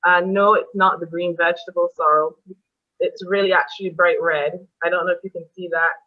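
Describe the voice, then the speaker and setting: A woman's voice: on the low side, fairly even intonation, fairly quick, phone-quality sound. A woman from Barbados, hosting a casual interview in a chatty, easygoing manner. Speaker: host.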